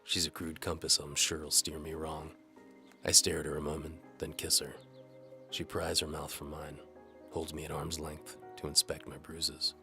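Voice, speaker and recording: A man's voice: rumbling low, level tone, moderate pace, gravelly, sharp tone. A man narrating a hardboiled first-person audio drama in a world-weary, wry manner over music. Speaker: narrator and lead actor.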